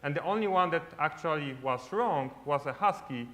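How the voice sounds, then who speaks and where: A man's voice: a little high, animated, normal speed, fairly bright tone. A man from Poland giving a casual conference talk on stage, often tagging sentences with "right?". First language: Polish. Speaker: conference speaker. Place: large lit stage.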